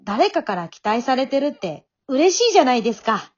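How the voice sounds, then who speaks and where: Woman acting a pushy young would-be singer, high voice, earnest, exhorting, radio drama, slightly dull recording. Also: very animated, a little fast. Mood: earnest, confiding. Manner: emphatic, exhorting.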